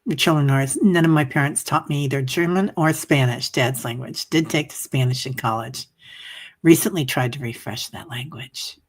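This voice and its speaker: Husky, very low-voiced woman chatting. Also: normal speed. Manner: chatty, rambling, many fillers. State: coughing, a bit hoarse. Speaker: livestream meditation host. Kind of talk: casual chat reading viewer comments. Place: home livestream.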